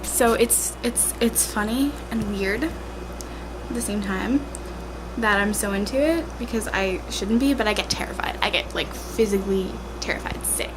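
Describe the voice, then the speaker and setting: A woman's voice: mid-range voice, moderate pace, background noise. A young woman with a congested, tired voice chats casually at home, full of fillers like "like" and "um". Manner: chatty, filler-heavy, self-deprecating. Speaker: vlogger. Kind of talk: casual rambling vlog. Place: at home indoors. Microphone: handheld camera at arm's length.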